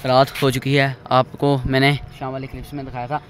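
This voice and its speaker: A man's voice: medium pitch, completely flat delivery, brisk. A teenage young man with a Pakistani accent, narrating a casual travel vlog into a handheld phone, often repeating himself as he addresses viewers. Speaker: vlogger. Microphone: phone at arm's length. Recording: noisy handheld phone recording, ambient sound.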